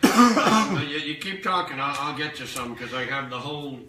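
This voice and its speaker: A man's voice: fairly high pitch, moderate pace. A man chatting casually and off the cuff, picked up at a distance. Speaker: performer guest helping out. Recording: distant, echoey, very noisy pickup.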